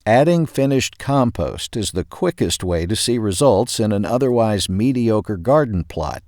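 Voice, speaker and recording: A man reading a scripted magazine article aloud in a measured, clearly emphasized narrator's delivery. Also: fairly low pitch, animated, a little mellow, no room sound, clean studio sound.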